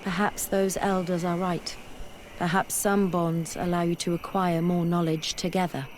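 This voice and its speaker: A woman's voice: on the low side, level tone, normal speed, a little hiss or hum, close to an everyday voice, poor audio. A woman acting a curious, determined, skeptical scholar in a video game, speaking thoughtfully and articulately.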